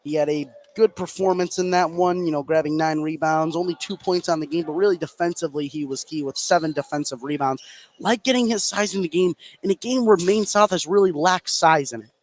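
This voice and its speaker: A man giving live play-by-play radio commentary on a basketball game, getting excitable on big plays. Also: on the high side, a little fast. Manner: excitable on big plays, calling action as it happens. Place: indoor basketball gym, courtside.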